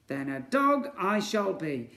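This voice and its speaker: A man's voice: high voice, very animated, takes it slowly, gravelly, bright, forceful tone, voice pushed up, exaggerated voice. A man voicing an eager, loyal slime creature that is easily alarmed, in a children's story. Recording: echoey room.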